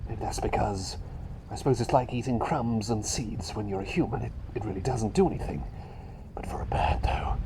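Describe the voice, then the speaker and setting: Man playing a soft, creaky bird doctor. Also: mid-range voice. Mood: gently musing. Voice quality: soft, breathy, often dropping to a whisper. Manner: hesitant, rambling, repeats phrases. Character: mild, flustered doctor transformed into a small talking bird. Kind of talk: ASMR roleplay, personal attention. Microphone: close ASMR microphone. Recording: close microphone with clear background noise.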